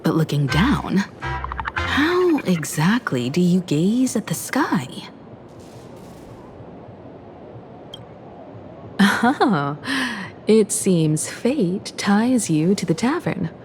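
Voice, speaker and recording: A woman playing a calm, composed young navigator in a video game, speaking in a measured, reflective way. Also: fairly low pitch. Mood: calm, curious. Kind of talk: scripted video game dialogue. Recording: music behind the voice.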